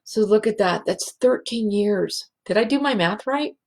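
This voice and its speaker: A woman hosting a spiritual show, speaking in a halting, emotional way and close to tears. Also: medium pitch, lively intonation, a little mellow. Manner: slow, halting and emotional, many restarts. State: teary. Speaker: show host, healing facilitator. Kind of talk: heartfelt spiritual show monologue.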